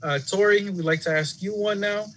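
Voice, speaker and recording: A man's voice: high voice, normal speed, a bit bright, rough recording. A man moderating a panel question session, polite and a little hesitant with many fillers.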